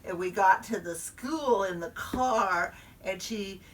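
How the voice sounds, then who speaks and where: A woman's voice: mid-range voice, slow, recorded from a distance, natural voice. A woman storyteller voicing a chatty little first-grade girl who confides in her listeners. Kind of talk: performed storytelling.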